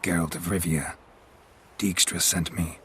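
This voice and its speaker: An actor playing a gruff, stoic, world-weary monster hunter in a video game, terse and deadpan with a gravelly growl. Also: male voice, low-pitched, a little slow, a little hiss or hum. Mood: dry, terse.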